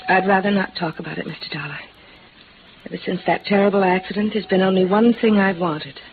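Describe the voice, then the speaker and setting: An American actress playing a cool, guarded, evasive widow in a melodramatic 1950s radio noir drama. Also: female voice, medium pitch, steady tone, fairly quick, natural voice. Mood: pained, evasive. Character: cool, guarded, alluring widow hiding a secret. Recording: muffled, hissy vintage radio recording.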